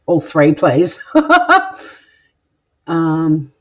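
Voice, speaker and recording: A woman's voice: sits low, level tone, very slow, warm tone. A middle-aged Australian woman hosting a cooking stream from her home kitchen, chatting with viewers in a playful way and swearing now and then. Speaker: cooking vlog host. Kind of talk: casual chat, live cooking stream reading viewer comments. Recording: dull lo-fi home recording.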